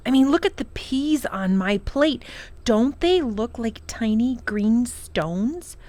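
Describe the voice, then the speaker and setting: Young storybook boy, acted by a woman: earnest, loyal, emphatic, lively intonation. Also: a little high, takes it slowly, pitched above the performer's own voice. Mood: protesting.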